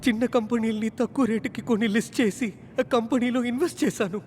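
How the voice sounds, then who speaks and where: High-pitched, panicky crooked stockbroker. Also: male voice, steady tone, fast talker, a little mellow. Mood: panicked confession. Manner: pleading, evasive. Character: cornered crooked male stockbroker, evasive and panicky. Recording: noisy film soundtrack with vehicle rumble.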